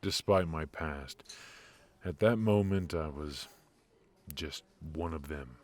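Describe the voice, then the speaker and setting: Man playing a very deep, slow-talking mafia boss. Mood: resolute, reflective. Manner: measured, weary, confiding, with heavy pauses. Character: brooding, ruthless male mafia boss with a hidden purpose. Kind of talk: intimate ASMR roleplay, noir audio drama.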